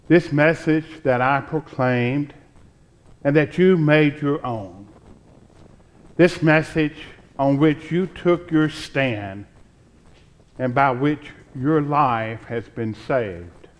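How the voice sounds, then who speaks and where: A pastor in his sixties reading scripture from a church pulpit in a deliberate, solemn way with pauses. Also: mid-range voice. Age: 60s.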